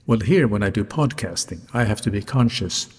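A man hosting a solo podcast speaks in a low, husky, slightly raspy voice as a non-native English speaker. His delivery is deliberate and carefully articulated. Long reverb surrounds the voice. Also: animated. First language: not English. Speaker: podcast host, hotelier. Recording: reverberant hall-like sound, music behind.

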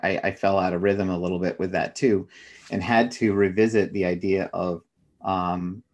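A middle-aged man with a low-pitched voice answers as an interview guest. He talks conversationally and reflectively, working through his ideas with personal examples. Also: fairly even intonation, moderate pace, a little mellow, dull audio.

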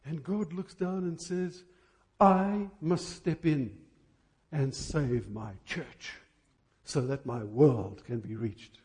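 A man preaching an impassioned church sermon, emphatic, with rhetorical questions and deliberate stresses on key words. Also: fairly high pitch, a little mellow.

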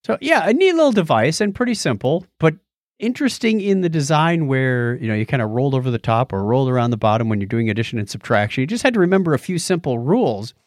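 A man's voice: mid-range voice, very animated, fast talker, no echo. Middle-aged man chatting, close dry sound. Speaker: podcast co-host. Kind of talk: casual chat about old gadgets.

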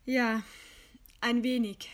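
An actress playing a proud, scornful woman writer facing execution in a radio play, with a deliberate, ironic delivery. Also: fairly high pitch, level tone. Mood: subdued scorn. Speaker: radio drama actor. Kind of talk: German radio play acting.

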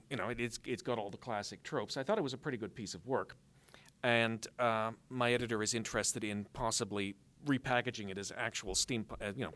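A man answering interview questions as a guest author, explaining things in a measured way with dry humor. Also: on the low side, fairly bright tone. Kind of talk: live interview answers before an audience.

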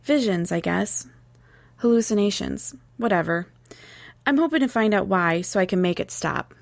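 A woman's voice: medium pitch, animated, moderate pace, gravelly, dry recording. A woman voicing a guarded, troubled young telepath in an audiobook, speaking tersely and earnestly. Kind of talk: audiobook character dialogue.

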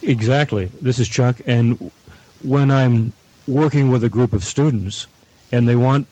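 A middle-aged man giving measured advice as a guest at a roundtable. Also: medium pitch, warm tone, poor audio. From Canada. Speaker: audio producer, guest panelist.

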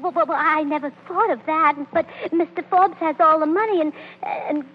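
An actress playing a scatterbrained, eager, devoted young wife in 1930s radio comedy, fluttery, naive and full of exclamations. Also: female voice, high-pitched, moderate pace, lightly acted. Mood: breathless delight. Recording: dull, noisy 1930s radio broadcast.

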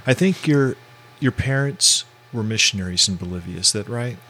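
A man's voice: fairly low pitch, lively intonation, normal speed, pushed and bright. A male podcast host asking questions in a hesitant way, with many fillers and restarts. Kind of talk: casual interview.

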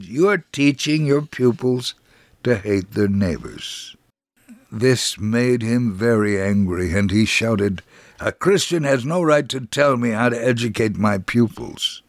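A man reading a first-person religious testimony as a scripted dramatic narration, in a measured, earnest way. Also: mid-range voice, no room sound.

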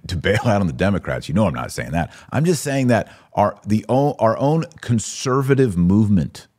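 A low-voiced man delivers opinionated live commentary as a podcast host. His pitch swings widely as he stresses his points. He breaks in with rhetorical questions and speaks straight to his listeners. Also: darkish tone. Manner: emphatic, rhetorical questions and asides.

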